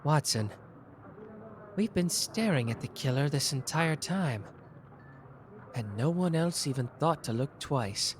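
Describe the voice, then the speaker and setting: A man voicing a sharp, playful young detective in an ASMR roleplay, teasing and quick-witted. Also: a little high, animated, slight background noise. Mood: dark. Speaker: voice actor.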